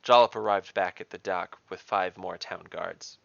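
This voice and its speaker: A man hosting a podcast, reading scripted fantasy narration in a measured, dramatic storytelling manner. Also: low voice, fairly even intonation, vocal fry, dry recording, muffled recording.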